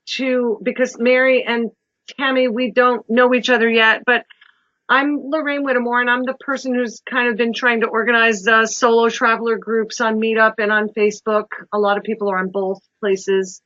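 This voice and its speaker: Woman travel agent presenting to a group; chatty, rambling, slightly high voice. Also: level tone, moderate pace, a bit bright, no room sound, lo-fi sound.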